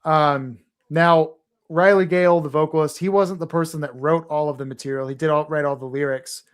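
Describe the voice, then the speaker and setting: Podcast guest, a man raised in Texas; a touch high-pitched, earnest and rambling. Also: fairly even intonation, brisk, clear, resonant tone. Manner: earnest, rambling, with fillers and restarts. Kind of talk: casual podcast chat.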